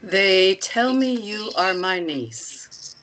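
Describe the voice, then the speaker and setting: A woman playing an enigmatic, imperious cult leader in a screenplay read, speaking with slow, commanding deliberation. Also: medium pitch, lively intonation, fairly bright tone, rough recording. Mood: knowing, imperious. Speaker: actor in a screenplay table read.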